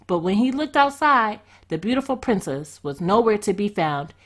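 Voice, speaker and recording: A woman reads a fairy tale aloud as a storyteller. Her mid-pitched voice moves with lively, expressive swings that shape each sentence. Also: moderate pace.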